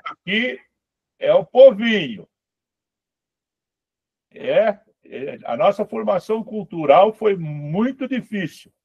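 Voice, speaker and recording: A man's voice: high voice, sing-song, slow pace, long pauses, slightly rough, no room sound. A man, a course participant who cuts in with emphatic, opinionated comments during a web conference. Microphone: video call. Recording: dry, dull lo-fi call audio.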